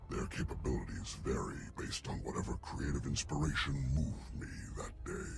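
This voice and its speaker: A man's voice: very deep voice, gravelly, heavy background noise, full character voice. An actor playing a vain, eccentric alien scientist in a video game, savoring his words in a grandiose, theatrical manner. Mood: smug, dismissive. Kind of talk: video game cutscene acting.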